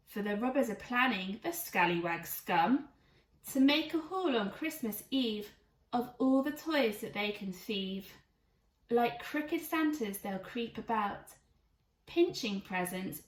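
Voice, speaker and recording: A woman's voice: on the high side, expressive, slow, recorded from a distance. A woman reading a rhyming children's storybook aloud in a theatrical, emphatic way. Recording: echoey room, away from mic.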